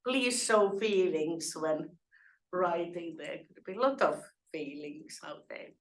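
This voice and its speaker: A woman with a lowish voice, recorded in a roomy, echoing space. Her remarks in the workshop discussion come out dry and wry. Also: expressive, takes it slowly. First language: Finnish. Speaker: workshop participant. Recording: roomy echo.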